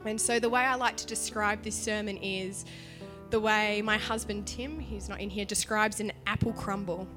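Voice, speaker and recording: A woman worship leader giving a sermon in a church, chatty and animated in her emphasis, her voice partly gone from illness. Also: medium pitch, normal speed, over music. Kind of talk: sermon with prayer.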